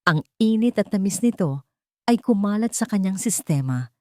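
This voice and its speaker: A woman with a Filipino accent narrates a scripted story in a measured, sentimental, dramatic way. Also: mid-range voice, expressive, a little mellow, dry recording, studio quality. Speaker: narrator of a romance story channel.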